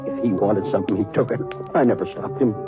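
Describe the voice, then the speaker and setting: An actor playing a bitter, wronged older brother, a vengeful cowhand in a radio western, with intense, rustic speech. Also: male voice, lowish voice, fast, natural voice. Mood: bitter, desperate. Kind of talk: 1950s radio western acting. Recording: dull old radio recording, music behind.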